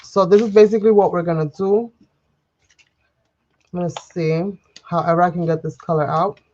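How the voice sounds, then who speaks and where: A woman's voice: lowish voice, steady tone, moderate pace, a little mellow, highs cut off. A woman hosting a live crafting tutorial, chatty and rambling as she talks to viewers, sniffly and under the weather. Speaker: craft livestream host. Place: home craft desk. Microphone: overhead camera livestream, speaker off-frame.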